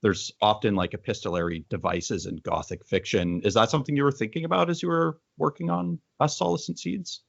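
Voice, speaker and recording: A man's voice: mid-range voice, fairly even intonation, brisk, creaky, hardly any pauses, dry recording, muffled recording. A man speaking as an interviewer in a conversational literary discussion.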